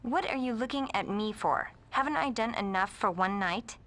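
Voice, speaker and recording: A woman's voice: medium pitch, lively intonation, moderate pace, a bit bright, natural voice. An actress playing a spoiled, vain young woman who talks in a whiny, petulant way. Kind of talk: video game voice acting.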